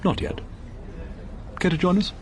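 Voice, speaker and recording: An actor playing an urbane, witty, middle-aged English playwright in a radio drama, speaking in a suave, clipped, dryly ironic way. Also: male voice, a little slow, noisy background, close to an everyday voice. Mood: dry, cool.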